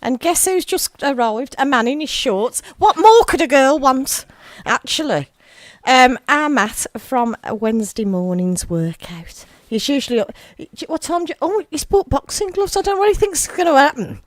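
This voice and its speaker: Chatty English radio host, lively. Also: female voice, high voice, moderate pace, few pauses, no echo. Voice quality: gravelly voice tonight. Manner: chatty, giggly, talks over music. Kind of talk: casual chat. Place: small local radio studio.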